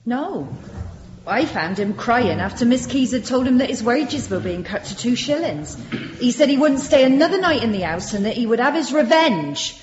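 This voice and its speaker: A woman's voice: a little high, lively intonation, moderate pace, a little hiss or hum, lightly acted. An actress playing a nervous, deferential Victorian servant woman, earnest and meek, in a British radio drama. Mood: agitated. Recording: muffled, echoey room recording.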